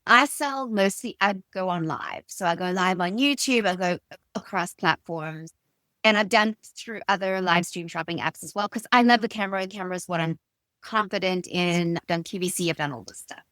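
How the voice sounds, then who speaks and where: A middle-aged woman with a South African accent, answering in an interview with emphatic, expressive storytelling and personal anecdotes. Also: lowish voice, normal speed, no echo. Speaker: guest, stylist and designer.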